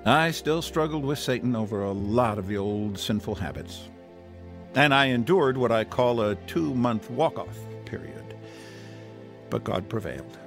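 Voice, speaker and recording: A man's voice: medium pitch, animated, unhurried, big-room sound, music in the background. A man narrating his testimony in an earnest, preaching manner, quoting scripture.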